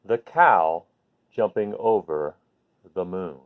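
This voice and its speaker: Slow-talking man with a clear, phone-like sound. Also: low voice, animated. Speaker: host reading picture books to children. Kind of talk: slow bedtime read-aloud with casual chat to viewers. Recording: phone-like narrow-band sound.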